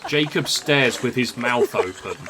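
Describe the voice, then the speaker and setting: A man's voice: medium pitch, lively intonation, a little slow, background noise. A man reading scripted story narration. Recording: music under the voice.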